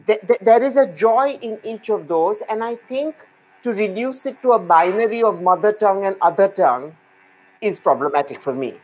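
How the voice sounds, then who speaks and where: A woman's voice: medium pitch, animated, normal speed, a little mellow, slight background noise, low-quality recording. A middle-aged woman with an Indian accent, a guest speaking confidently and emphatically on a video call. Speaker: guest dancer. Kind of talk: video call panel talk. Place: indoor room. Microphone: laptop webcam on a video call.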